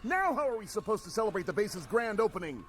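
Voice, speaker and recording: A woman's voice: mid-range voice, sing-song, fast, a little hiss or hum, close to an everyday voice. A woman playing a stern, commanding military base officer who is indignant, in a cartoon audio drama.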